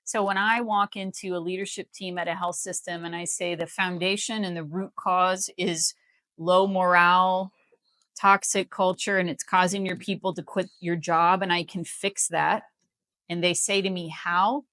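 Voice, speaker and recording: A woman's voice: fairly low pitch, little pitch movement, moderate pace, fairly bright tone, dry recording. An American woman explaining things in a live interview as a guest, in an emphatic, persuasive manner. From Missouri, United States. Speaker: healthcare company CEO, interview guest.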